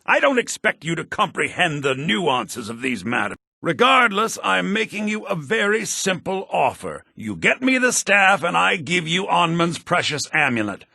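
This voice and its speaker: An actor playing a sly, smug, self-serving merchant in a fantasy video game, with a theatrical, condescending delivery. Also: male voice, very animated, normal speed, vocal fry, few pauses, sharp tone, dry recording, heavily acted. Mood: condescending, insistent. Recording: low-bitrate game audio rip.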